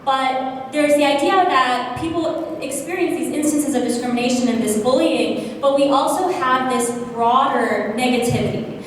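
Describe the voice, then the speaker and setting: A woman's voice: sits high, moderate pace. Woman lecturing in an echoey hall. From United States. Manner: earnest, many fillers, self-aware about loud voice. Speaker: conference speaker presenting research. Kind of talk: academic conference talk. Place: conference hall. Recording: echoey hall from far off, noisy.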